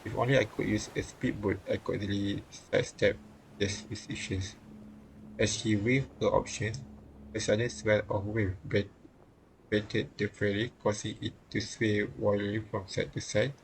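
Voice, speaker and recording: A man's voice: fairly low pitch, level tone, normal speed, gravelly, a little hiss or hum, poor audio. A man streaming a visual novel, reading the game text aloud and often stumbling and rereading phrases.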